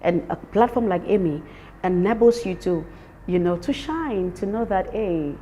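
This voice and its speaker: A woman's voice: mid-range voice, lively intonation, normal speed, mellow, slight background noise. A woman from Cameroon with a Cameroonian accent, speaking emphatically as an interviewed event organiser and repeating words for stress. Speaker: summit organiser being interviewed. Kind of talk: promotional interview talk.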